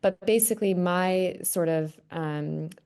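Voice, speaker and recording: A woman's voice: fairly low pitch, slow, darkish tone, smooth. A young Canadian woman with a Canadian accent, a guest chatting casually on a video call with lots of "kind of" fillers. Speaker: guest panelist. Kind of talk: casual webinar talk.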